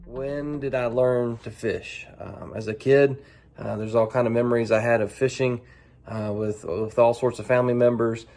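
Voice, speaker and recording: A man speaking casually and haltingly as an angler answering a set of profile questions, restarting phrases as he goes. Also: medium pitch, steady tone, darkish tone, faint music behind.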